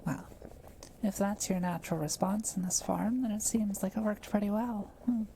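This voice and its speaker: Woman acting a gentle, mellow-voiced forest witch. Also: mid-range voice, normal speed, slight background noise, natural voice, low-quality recording. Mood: caring, attentive. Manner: soft-spoken, careful, patient explaining. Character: kind, quiet-living forest witch, gently reassuring. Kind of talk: roleplay audio drama addressed to the listener.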